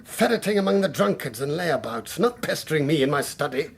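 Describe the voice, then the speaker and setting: A man playing an irritable, scornful upper-class English country gentleman in a radio drama. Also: a little high, sing-song, fairly quick, gravelly, slightly rough, exaggerated voice. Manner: scornful, condescending. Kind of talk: British radio drama acting.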